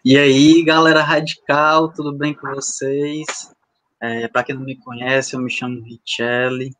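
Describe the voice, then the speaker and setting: A man of twenty, hosting a casual livestream chat in a halting, hesitant way. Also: medium pitch, flat delivery, fairly bright tone, a little hiss or hum. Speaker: youth livestream host.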